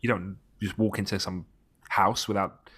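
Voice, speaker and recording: A man from Tunisia co-hosting a podcast, talking in an animated, emphatic way and often restarting his phrases. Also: sits low, normal speed, slightly rough. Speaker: podcast co-host. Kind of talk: casual podcast chat.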